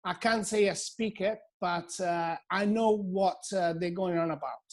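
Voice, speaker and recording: A man's voice: high-pitched, measured pace. A middle-aged Italian man with an Italian accent, a hairdresser talking as a guest in a casual interview, hesitant with many fillers and restarts. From Italy.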